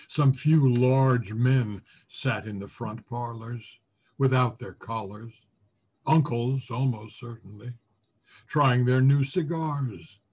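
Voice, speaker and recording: A man with a husky voice reading a literary story expressively, savoring the words with deliberate pauses. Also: mid-range voice. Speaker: voice actor, story reader. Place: remote video call presentation.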